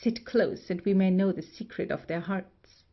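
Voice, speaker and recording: A woman playing a playful, nosy gentlewoman in a period comedy audio play, with a creaky voice. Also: mid-range voice, normal speed, lightly acted. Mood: teasing, conspiratorial. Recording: dull, lo-fi audio.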